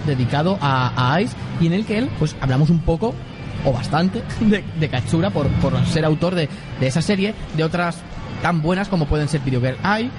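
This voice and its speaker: A man with a Spanish accent from Spain, hosting a casual manga chat with halting explanations. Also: fairly high pitch, animated. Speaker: podcast host. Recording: music playing behind the voice. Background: clear background music.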